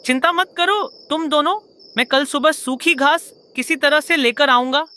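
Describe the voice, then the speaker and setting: Woman as a sharp, bullying father crow. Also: high voice, moderate pace. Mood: reassuring. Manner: blustering, forceful. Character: bullying adult male crow, greedy father. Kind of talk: Hindi moral cartoon voice acting.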